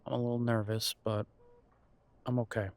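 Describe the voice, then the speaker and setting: A man acting in an intimate audio roleplay as a nervous, reassuring grown son, speaking haltingly and affectionately to his partner. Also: mid-range voice, level tone, close to an everyday voice. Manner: hesitant, halting, sighing delivery with soft chuckles.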